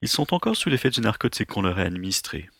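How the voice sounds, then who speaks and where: An actor voices a male doctor in a science fiction radio play. His voice is low and gravelly with a bright, firm edge. He speaks in a measured, reassuring, professional way. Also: very animated, exaggerated voice. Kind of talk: audio drama dialogue.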